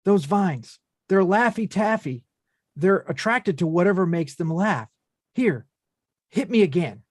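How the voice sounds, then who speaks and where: A man playing an earnest, anxious, chivalrous small repairman from a video game, polite and excitable, in an animated film table read. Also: high voice, a little slow, dry recording, studio quality. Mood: eager, gallant.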